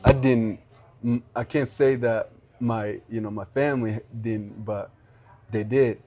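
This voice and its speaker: A young man from Rwanda hosting a podcast, talking in an earnest, halting way with many restarts. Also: medium pitch, fairly even intonation, warm tone. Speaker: podcast host. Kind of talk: casual interview chat.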